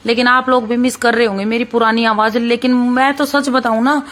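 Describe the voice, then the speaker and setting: A woman in her thirties with a hoarse, sore voice, chatting casually through a vlog as she cooks. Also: on the high side, steady tone, a little fast, fairly bright tone. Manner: chatty, keeps saying "bhai", talks while cooking. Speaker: vlogger.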